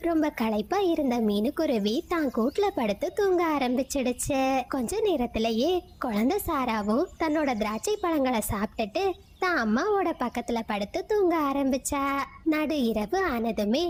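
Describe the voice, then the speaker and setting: A woman narrating a children's cartoon story in a steady, clear storybook manner. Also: high-pitched, moderate pace, a little hiss or hum.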